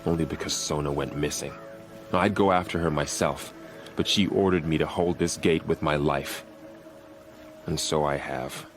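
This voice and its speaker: Super deep voiced warrior on gate duty, grave and dutiful, game dialogue, bit of music behind. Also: male voice, fairly even intonation. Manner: measured, earnest, grave. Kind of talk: video game voice acting.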